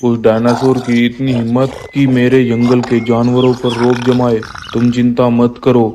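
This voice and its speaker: A man playing an old, protective dinosaur king in an animated animal story, resolute and indignant. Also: medium pitch, moderate pace, noisy background, lightly acted.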